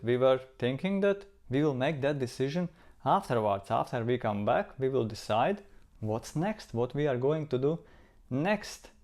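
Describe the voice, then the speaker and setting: A man's voice: mid-range voice, darkish tone. A man from Latvia with a Latvian accent, talking to camera as a travel vlogger in an earnest, reflective way and repeating words for emphasis. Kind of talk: casual talk to camera.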